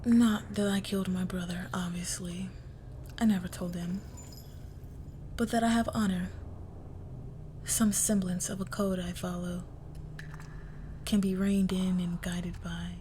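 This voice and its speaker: A woman playing a crime boss's cold, menacing young daughter in an intimate audio roleplay, speaking in a hushed, deliberate near-whisper. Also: lowish voice, fairly even intonation, slight background noise, lightly acted. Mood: conspiratorial. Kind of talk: intimate scripted audio roleplay, dramatic monologue.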